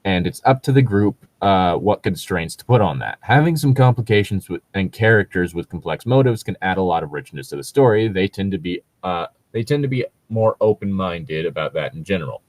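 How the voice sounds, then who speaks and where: A man with a low voice talks casually through his opinions, stopping and restarting as he goes. The sound is dry and close, and he peppers his talk with fillers and the odd swear word. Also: animated, a little fast, hardly any pauses, a little mellow, no echo. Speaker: podcast host reacting to read-aloud Reddit posts. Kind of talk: casual chat and commentary.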